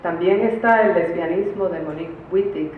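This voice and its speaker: Female academic speaker, measured formal delivery from a written paper, very roomy and phone-like sound. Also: lowish voice. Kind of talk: formal academic lecture read from a prepared paper. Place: conference room, recorded from a distance. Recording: distant, very echoey room, phone-like, faint noise.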